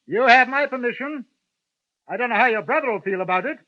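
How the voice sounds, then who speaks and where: An actor playing a polite, drily menacing gentleman gunman, who also narrates in 1950s radio-drama style. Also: very high voice, fast. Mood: wry calm. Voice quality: crisp, formal, drily menacing. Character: cool, polite gentleman gunman, middle-aged man. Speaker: narrator and lead. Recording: tinny old radio broadcast, faint hiss.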